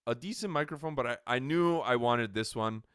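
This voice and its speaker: A man from Canada co-hosting a podcast on a good studio microphone. He talks loudly and animatedly, full of filler likes. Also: medium pitch, a little fast, smooth, dry recording. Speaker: podcast co-host. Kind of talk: casual chat. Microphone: quality podcast microphone.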